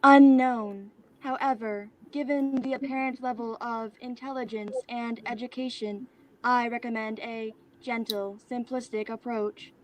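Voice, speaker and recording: A woman playing a precise, obliging artificial assistant in a staged play reading, speaking in a formal, measured, informational way. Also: fairly high pitch, fairly even intonation, close to an everyday voice, rough recording. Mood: formal, factual.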